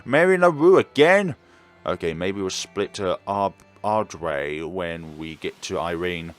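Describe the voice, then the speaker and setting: A man's voice: low voice, lively intonation, takes it slowly. A man streaming a game with casual, wry reactions and reading along, game music behind him. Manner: wry, chatty asides. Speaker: game streamer, let's-player.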